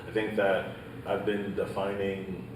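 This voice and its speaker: Deep-voiced man on a panel, roomy. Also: little pitch movement, a little slow. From Haiti. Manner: earnest, repeats "right?" and "um", leans on data. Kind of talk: panel discussion answer. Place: panel event in a hall. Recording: echoey room, distant mic, background noise.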